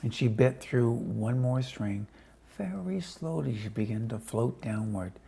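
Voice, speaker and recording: A man reading a children's storybook aloud casually, with chatty asides to his listeners and a slightly husky voice. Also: mid-range voice, animated, unhurried, mellow. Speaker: storybook reader.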